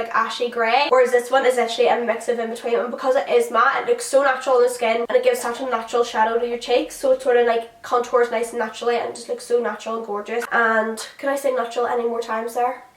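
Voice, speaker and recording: A young woman races through her favourite finds, gushing and sprinkling in "like" and "literally", her slightly high voice gone husky from a cold. She sounds set back from the mic in an echoey room. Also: far from the mic. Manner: gushing, chatty, many "like"s and "literally"s. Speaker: beauty vlogger and makeup artist. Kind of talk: casual product review.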